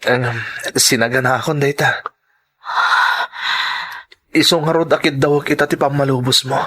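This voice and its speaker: A man plays an earnest lover in an emotional audio drama. He speaks slowly and pleadingly, in a middle-pitched voice, as he tries to part from the one he loves. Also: steady tone, pushed and bright. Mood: pleading, apologetic. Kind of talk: Ilocano radio-style drama acting.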